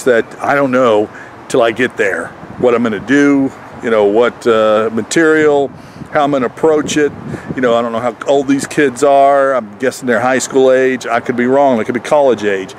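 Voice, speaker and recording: A man's voice: mid-range voice, moderate pace. A man talking casually and chattily to viewers as host of his own comedy road vlog. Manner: chatty, addresses viewers as folks. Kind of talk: casual vlog talk to camera. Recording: noisy outdoor and vehicle background.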